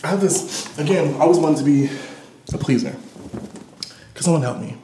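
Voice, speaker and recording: High-pitched, sassy American man in echoey room. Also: moderate pace, a little hiss or hum. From Detroit, USA. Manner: playful, sassy, animated, slangy. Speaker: performance artist. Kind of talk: candid personal storytelling to a live audience. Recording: roomy live gallery recording.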